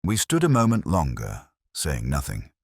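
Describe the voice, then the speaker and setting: A man narrating a first-person detective story in a measured, literary, brooding manner with a husky voice. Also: very deep voice, huge pitch swings, dry recording, clean studio sound.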